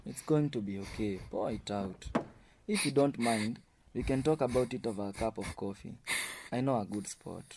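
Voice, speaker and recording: A man's voice: lowish voice, moderate pace, darkish tone, slight background noise, close to an everyday voice. A man playing an earnest, smitten employee in an amateur radio drama. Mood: concerned. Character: earnest smitten male employee comforting his boss.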